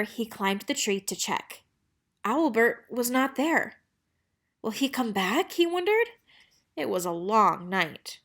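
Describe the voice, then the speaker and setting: A woman reads a children's storybook aloud at a medium pitch. She narrates expressively, as a storyteller would for young listeners. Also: fairly bright tone. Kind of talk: children's picture book read-aloud.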